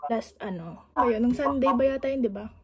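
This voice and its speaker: A woman vlogger chatting casually on prank calls to friends, with a giggly, animated manner. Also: a little high, normal speed, husky. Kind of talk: casual chat on the phone.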